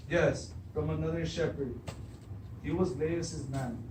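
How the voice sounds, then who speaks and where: A man's voice: on the high side, steady tone, takes it slowly. A man acting a plain-spoken messenger and former shepherd in an amateur stage reading. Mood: explanatory. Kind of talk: beginning drama class readers theatre. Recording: echoey room, distant mic, clearly noisy.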